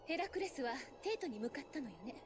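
An actress voicing a calm, composed young woman warrior in a Japanese video game. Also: sits high, racing, noisy background.

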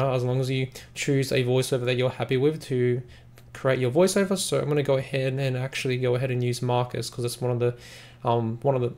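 Gravelly-voiced man giving a software tutorial. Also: medium pitch. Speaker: software reviewer, tutorial host. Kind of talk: casual screen-recording tutorial narration.

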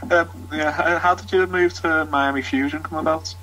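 A man's voice: a little high, steady tone, fairly quick, bright, forceful tone, a little hiss or hum, rough recording. A man interviewing a footballer, putting casual questions with some hesitation. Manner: hesitant, starts questions with fillers.

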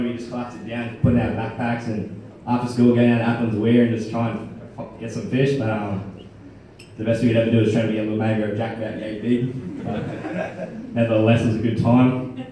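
A man's voice: mid-range voice, warm tone, a little hiss or hum. A young man giving a casual, rambling wedding speech as a friend of the groom. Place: wedding reception hall. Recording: echoey crowded hall from far off.

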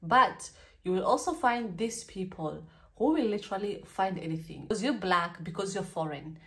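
A woman's voice: mid-range voice, animated, moderate pace, fairly bright tone. A young woman in her twenties with a Kenyan accent, chatting candidly and animatedly in a storytime vlog recorded in a small bedroom. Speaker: vlogger.

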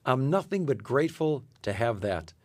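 A man's voice: medium pitch, big pitch swings, normal speed, darkish tone, no echo. A man speaking as a charity president, giving scripted answers as a radio guest.

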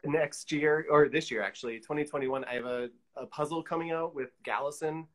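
A man's voice: a little high, a little fast. A middle-aged man chatting casually as a guest on a video call. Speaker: guest artist. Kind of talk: casual chat. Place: indoor room at home, on a video call.

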